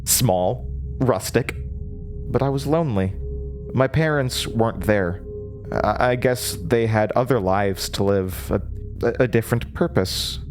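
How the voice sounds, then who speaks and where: A man playing a disturbed, haunted storyteller in a horror audio drama, speaking in a halting, theatrical way over music. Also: mid-range voice, a little mellow, lightly acted. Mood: wistful, unsettled. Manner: halting, theatrical, with nervous laughter and drops into whispers. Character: disturbed, haunted storyteller recounting a horrifying childhood. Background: prominent music.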